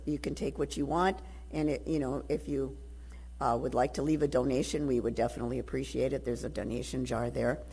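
An older woman speaks at a church lectern, her voice very low, dark and gravelly from hoarseness. She rambles warmly through her thanks, with a husky, breathy edge, picked up by the lectern microphone in the sanctuary. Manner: rambling, effusive, grateful. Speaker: congregation member giving announcements. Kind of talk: casual church announcement.

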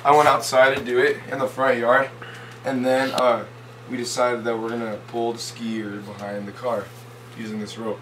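A young man vlogging in a casual, rambling way, with lots of restarts and a lot of excitement. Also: medium pitch, moderate pace, echoey room, distant mic, background noise. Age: young adult. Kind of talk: casual vlog chat. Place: house indoors, then snowy yard outdoors.

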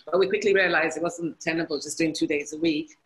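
A Nigerian woman in her fifties, answering interview questions over a video call in a relaxed way. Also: low-pitched, fairly quick, low-quality recording. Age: 50s. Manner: relaxed, laughs easily. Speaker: guest. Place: indoor room at home. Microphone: video call webcam.

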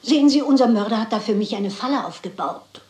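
An actress playing a shrewd, composed elderly lady detective in a German crime radio play, speaking in a measured, polite and resolute way. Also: fairly high pitch, expressive, darkish tone, reverberant, lightly acted. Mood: composed, determined. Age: older.

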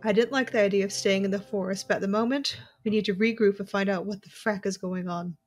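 A woman's voice: mid-range voice, fairly even intonation, fast, close to an everyday voice. A woman playing a tense, watchful young intelligence agent, narrating her own story in an audio drama. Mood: grim, urgent. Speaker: narrator and lead character. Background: music.

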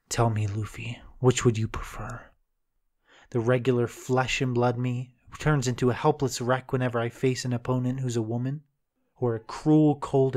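A man's voice: mid-range voice, darkish tone, natural voice. Man playing an intense, chivalrous young fighter. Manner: intense, dramatic, earnest. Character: brooding, chivalrous, resolute young male fighter cook. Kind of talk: fan-made dramatic manga reading.

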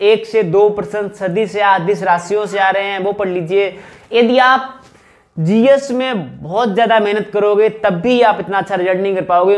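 Male coaching teacher, thirties, high-pitched voice, instructive and emphatic, explaining to students. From northern India. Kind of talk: explanatory exam-prep lesson at a whiteboard. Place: small indoor room with whiteboard.

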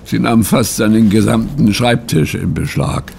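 A man acting in a German audio drama as a bewildered, troubled gentleman, telling his account in a solemn, halting way. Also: fairly low pitch, vocal fry, a little hiss or hum. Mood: earnest recounting.

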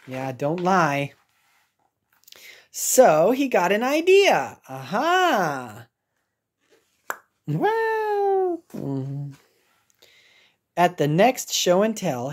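A woman's voice: on the low side, darkish tone, breathy edge. An American woman teacher reading a children's picture book aloud, expressively, with simple asides and reactions for young learners.

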